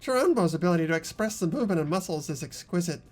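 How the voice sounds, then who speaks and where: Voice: medium pitch, expressive, rapid-fire, soft background music, close to an everyday voice. A performer voicing a pompous, nerdy young male anime fan in a game read-aloud. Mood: pompous, geeky.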